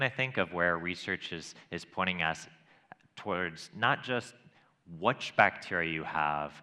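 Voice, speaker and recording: Very deep-voiced man with a bright edge. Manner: explanatory, with many ums and restarts. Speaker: microbiologist, panel guest. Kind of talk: explaining research at a panel event.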